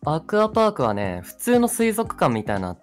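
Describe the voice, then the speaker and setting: A man's voice: on the high side, wildly swooping pitch, normal speed, faint music behind. A young man co-hosting a casual radio chat in an agreeable manner. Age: young adult. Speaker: radio show co-host.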